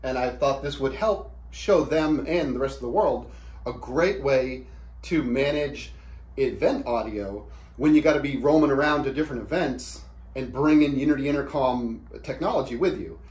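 A man's voice: mid-range voice, darkish tone. A man in his thirties giving an informal whiteboard explainer in a casual, plain-spoken, conversational way. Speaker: product demonstrator. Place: small indoor office room. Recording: roomy, echoey quick camera shoot.

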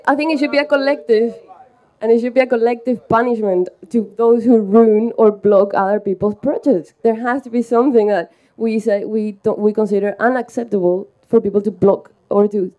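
A young woman gives an impromptu panel contribution on a handheld microphone. Her voice is mellow and warm at a medium pitch, and the live sound is a little dull. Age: young adult. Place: festival tent.

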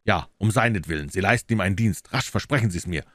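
A man voicing a coolly assured, incisive gentleman detective in an audiobook, emphatic and courteous. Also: deep voice, animated, fast, dry recording, studio quality. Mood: urgent, emphatic.

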